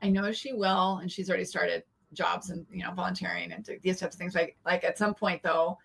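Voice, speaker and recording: A woman's voice: fairly low pitch, steady tone, brisk, gravelly, slightly rough. A woman hosting a podcast, chatting casually with a guest and full of fillers and restarts. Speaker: podcast host. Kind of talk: casual interview chat.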